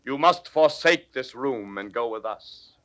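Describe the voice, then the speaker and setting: A resonant, slow stern nobleman handing down judgement. He declaims formally and with authority, in a dry-sounding 1940s classical audio drama. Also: medium pitch, animated, sharp tone, dry recording.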